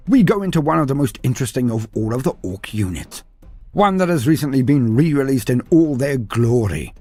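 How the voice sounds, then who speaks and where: A man's voice: mid-range voice, darkish tone, slightly rough. A man reading scripted fantasy war lore in a grandiose, theatrical way, addressing the listener formally. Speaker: narrator of a Warhammer lore guide. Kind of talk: scripted dramatic lore narration.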